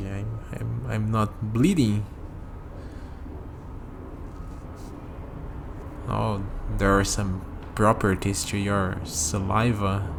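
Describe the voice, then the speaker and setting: A man playing a curious, skeptical young human in an ASMR vampire roleplay, talking hesitantly to the listener and pausing for replies, with steady noise behind him. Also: on the low side, vocal fry. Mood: dazed, amazed. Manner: hesitant, conversational, leaves pauses for unheard replies.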